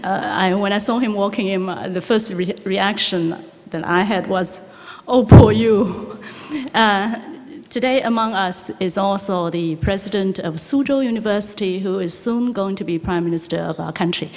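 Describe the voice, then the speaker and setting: A woman's voice: medium pitch, normal speed, a little mellow. A woman moderating a forum, introducing the speaker in an informal, hesitant way. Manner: informal, hesitant, laughing at herself. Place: large lecture hall. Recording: long hall reverb with audience noise.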